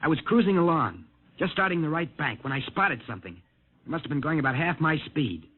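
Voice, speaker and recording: An actor playing a shaken, earnest young male test pilot in a 1950s radio drama, with a husky voice. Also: on the high side, very animated, fairly quick. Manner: earnest, urgent. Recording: tinny old radio broadcast.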